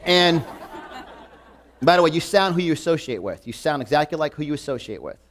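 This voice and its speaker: A man's voice: a little high, very animated, brisk, a faint crowd, slight background noise. A man in his forties with a New York accent, giving an emphatic, repetitive motivational talk to a live audience. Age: 40s. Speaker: motivational speaker. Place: event room before a live audience.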